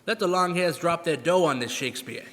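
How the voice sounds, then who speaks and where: A man's voice: a little high, fairly quick, fairly bright tone, close to an everyday voice. A man playing a brash showbiz theatre man in an old-time radio drama, talking in emphatic, wisecracking slang. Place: live performance in a church. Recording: echoey church hall.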